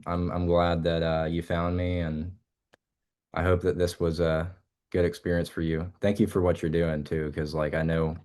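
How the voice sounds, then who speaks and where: Young man with a very low voice who talks casually and loosely, full of fillers and restarts and ideas that trail off as he chats with an interviewer. He comes across as a relaxed musician guest. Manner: rambling, halting with many fillers and restarts.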